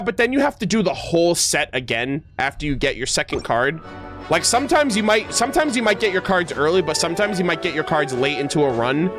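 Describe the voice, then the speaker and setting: A man's voice: on the high side, fairly bright tone. A man streaming video games, chatting casually with viewers in informal, teasing gamer slang. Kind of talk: casual livestream chat with viewers.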